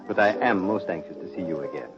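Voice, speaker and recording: An actor playing a courtly, sinister prince in a 1940s radio drama, speaking with formal, smooth politeness. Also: male voice, deep voice, fast talker, lightly acted. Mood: suave, courteous. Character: suave, courtly, sinister aristocratic prince, a strange man. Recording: muffled old radio recording, music behind.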